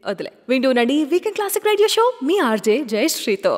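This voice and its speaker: A woman's voice: high-pitched, big pitch swings, fast talker, long reverb tail, loud music behind the voice. A woman hosting a radio show in a breezy, chatty way, often asking listeners to agree with her.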